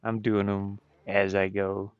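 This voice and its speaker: Male indie developer streamer, low and slow-paced, casual and hesitant, trailing off; dull, close stream audio. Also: little pitch movement, clean tone. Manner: hesitant, halting, frequently trailing off. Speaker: game developer livestreaming.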